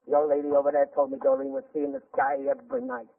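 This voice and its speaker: An actor playing a belligerent yet contrite hard-drinking sailor with blunt, slangy talk in 1940s radio drama style. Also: male voice, fairly high pitch, very animated, fast, gravelly, recorded from a distance, full character voice. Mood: sheepish. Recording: muffled, narrow-band old radio recording.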